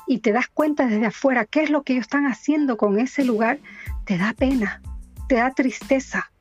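A woman in her fifties with an Ecuadorian accent softened by Chilean touches, speaking a little high. She chats easily and warmly, repeating herself and laughing often. Faint music plays underneath. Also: normal speed, husky, dull audio. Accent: Ecuadorian Spanish with Chilean touches. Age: 50s. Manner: warm, chatty, laughs often, repeats words. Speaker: guest. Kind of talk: casual interview chat.

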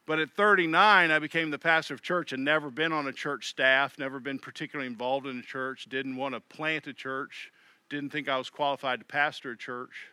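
Bright-voiced man preaching conversationally. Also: medium pitch, steady tone, brisk, clean tone, no echo. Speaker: pastor. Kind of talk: sermon.

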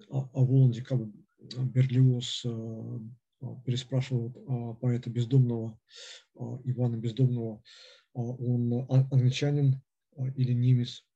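A man lecturing off the cuff, stopping and restarting at every turn with a string of fillers. His voice is very dark and husky, and he speaks in a flat, level tone. The recording sounds dull and lo-fi. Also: medium pitch. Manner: halting, with constant hesitations and fillers. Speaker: lecturer on philosophy. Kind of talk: unscripted lecture.

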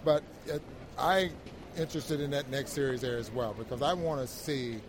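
A man co-hosting a sports show, giving casual basketball analysis in a halting way, repeating words as he builds each point. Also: mid-range voice. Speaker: basketball broadcast co-host, analyst. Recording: crowd noise behind the voice.

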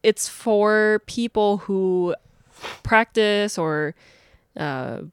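A woman's voice: medium pitch, lively intonation, very slow, clear, resonant tone, dry recording, clean studio sound. A woman co-hosting a podcast in casual chat, chatty, rambling and playful. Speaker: podcast co-host.

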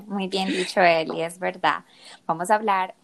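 A woman's voice: medium pitch, steady tone, slow pace, gravelly, slight background noise. A woman in her thirties with a Colombian accent from Medellín, talking conversationally as co-host of a podcast. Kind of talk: casual conversational podcast intro.

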